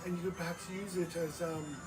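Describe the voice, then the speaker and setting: A game master improvising narration at a tabletop game, halting and feeling for words. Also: mid-range voice, fairly even intonation. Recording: distant from mic, music over the voice. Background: music.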